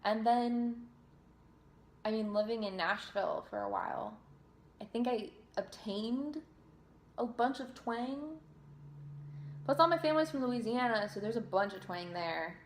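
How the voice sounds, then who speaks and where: A woman's voice: a little high, measured pace, long pauses, a little hiss or hum. Chatty young woman in a roomy echo. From New Jersey. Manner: chatty and self-deprecating, with fillers and restarts. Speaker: singer and vlogger. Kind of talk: casual Q&A reading viewer questions.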